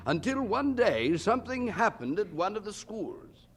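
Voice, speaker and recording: A man's voice: sits high, big pitch swings, measured pace, husky, heavily acted. A man playing a candid, wryly puzzled preacher who narrates his memories in a radio drama, reflective and conversational.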